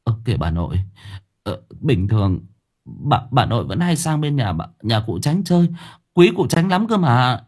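A man's voice: mid-range voice, sing-song, moderate pace, darkish tone. A man voicing a bewildered young grandson who stammers hesitantly.

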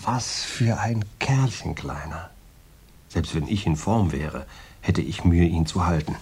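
An actor playing a boastful, tough former heavyweight boxer in a radio crime drama, rambling in slangy, gravelly talk with swagger. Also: male voice, low voice, lively intonation, a little slow, darkish tone, heavily acted. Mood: proud, boastful. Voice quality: gravelly, husky.

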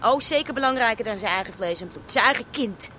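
An actress playing a fiery, indignant mother in a radio play, with a sharp-tongued, sarcastic way of talking. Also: on the high side, lively intonation, fast, natural voice. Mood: scornful, sarcastic. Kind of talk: naturalistic radio play dialogue.

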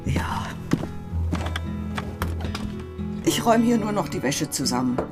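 An old housewife played by a female actor speaks wistfully, fretting and questioning, yet devoted. It is intimate radio drama acting, with music clearly behind her voice. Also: medium pitch, sing-song, a little fast, lightly acted. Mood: tender. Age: older. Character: elderly wife, wistful, fretful, devoted, housewifely.

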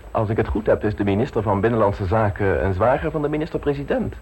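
An actor playing a suave, ambitious gentleman politician in an old radio play, polished, self-assured and teasingly frank. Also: on the low side, quick pace, slight background noise, close to an everyday voice. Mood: assured, scheming.

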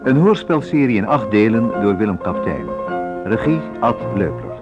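A man's voice: fairly low pitch, very animated, normal speed. A man announcing a radio drama serial in a scripted title reading. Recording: dull old radio recording, loud piano music. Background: piano music.

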